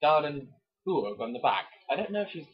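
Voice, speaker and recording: A man's voice: fairly high pitch, very animated, moderate pace, breathy edge. A man giving a theatrical, mock-dramatic amateur reading of a romance novel, breaking off for joking asides. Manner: jokey, theatrical, breaks off to comment. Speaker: amateur reader and commentator. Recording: dull, muffled lo-fi recording.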